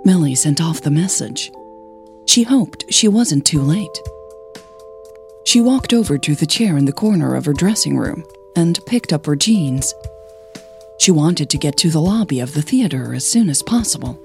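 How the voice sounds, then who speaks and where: A woman podcast host reading scripted fiction as narrator, in a measured storytelling manner. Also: low-pitched, animated, vocal fry, darkish tone, soft background music.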